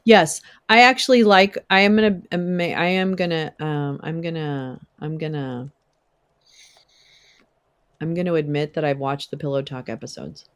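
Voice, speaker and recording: A young woman hosting a casual livestream, chatting with viewers, swearing and laughing often, her voice wearing hoarse. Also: sits low, moderate pace. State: losing her voice. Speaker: livestream host. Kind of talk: casual livestream chat reading viewer comments.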